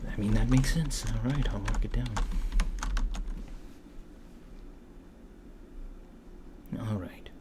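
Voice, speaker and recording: A man playing a curious, puzzled alien researcher in a soft-spoken ASMR roleplay, halting and matter-of-fact, often slipping into whispers. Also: on the low side, close to an everyday voice. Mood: baffled, then businesslike. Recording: clear background noise with keyboard typing. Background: computer keyboard typing.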